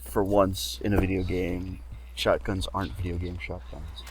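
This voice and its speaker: A man's voice: sits low, little pitch movement, normal speed. A man co-commentating on a video game in a casual, conversational way. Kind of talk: casual chat over gameplay.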